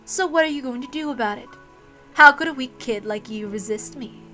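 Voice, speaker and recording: Woman voicing a sneering, bright-voiced demon examiner. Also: high voice, a little fast, soft background music. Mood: sneering, taunting. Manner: mocking, coaxing tag questions. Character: sneering demon examiner, taunting, cruel and condescending.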